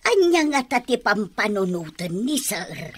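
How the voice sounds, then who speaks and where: An actress playing an excitable, simple-minded older household helper in a radio comedy drama, given to exclaiming. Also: female voice, mid-range voice, measured pace, breathy edge. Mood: puzzled.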